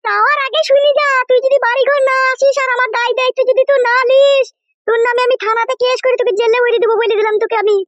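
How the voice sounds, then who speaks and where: A woman's voice: very high voice, steady tone, quick pace, dry recording, highs cut off. A woman voicing an indignant, foul-mouthed wife in a comic cartoon. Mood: threatening, angry. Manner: scolding, threatening, heavy rustic swearing.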